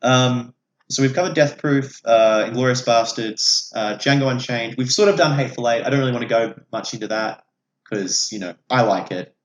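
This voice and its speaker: A man's voice: medium pitch, normal speed. A man, an opinionated podcast host who swears freely while casually discussing films. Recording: echoey room, audible background noise.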